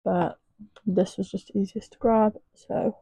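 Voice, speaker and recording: Young woman doing a makeup tutorial, chatty, kinda husky hoarse voice, dry close sound. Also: fairly even intonation, unhurried, dry recording. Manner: chatty, rambling, soft and low at times. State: voice going hoarse. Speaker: makeup artist. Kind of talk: casual makeup tutorial chat.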